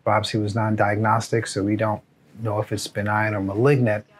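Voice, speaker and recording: A man's voice: lowish voice, monotone, moderate pace. An American man in his forties, a surgeon, speaking in a documentary as narrator and interviewee. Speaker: surgeon, head of a clinic.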